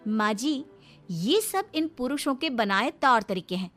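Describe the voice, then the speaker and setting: Woman voicing a defiant young wife, high-pitched. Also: lively intonation, a little slow, fairly bright tone, soft background music. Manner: argumentative, sarcastic. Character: defiant young city-bred wife, outspoken and indignant. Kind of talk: Hindi cartoon story dialogue.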